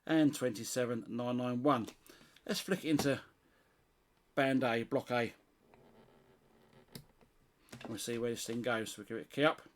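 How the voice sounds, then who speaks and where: A man's voice: mid-range voice, moderate pace, slight background noise. A middle-aged British man giving a casual hands-on demonstration, thinking aloud as he goes. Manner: unscripted, thinking aloud while testing. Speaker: CB radio hobbyist presenter.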